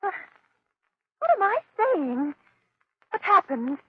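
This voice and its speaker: An anxious, devoted heroine of a 1930s radio thriller. Her voice is high and breathy, swinging widely with emotion, on a muffled vintage recording. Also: very animated, takes it slowly, no room sound, exaggerated voice. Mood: frightened. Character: anxious, devoted lady companion to a crime-fighter. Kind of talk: 1930s radio drama acting.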